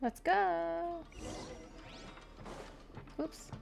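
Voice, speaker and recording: A woman with a high voice chats casually as she streams a video game. She reacts informally to what she plays, with music faintly behind her. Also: extremely slow, gravelly, darkish tone. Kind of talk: casual let's play reactions.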